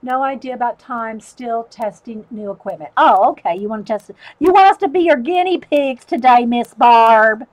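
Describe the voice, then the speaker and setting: A woman's voice: a little high, moderate pace, few pauses, low-quality recording. A middle-aged woman, chatting casually with viewers while she draws, laughing often. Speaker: artist livestreamer. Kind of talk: casual chat while drawing.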